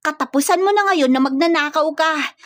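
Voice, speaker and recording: A woman's voice: high-pitched, brisk, no room sound, studio quality, voice pushed up, lightly acted. A woman voicing a feisty, cheeky young provincial Filipino woman in a dramatic story. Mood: feisty, gleeful.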